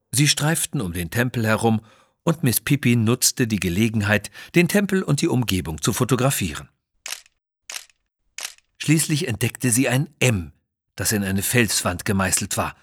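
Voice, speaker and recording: A man's voice: mid-range voice, slightly rough, no room sound, studio quality. A man narrating a children's adventure story in a scripted, dramatic style. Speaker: audiobook narrator.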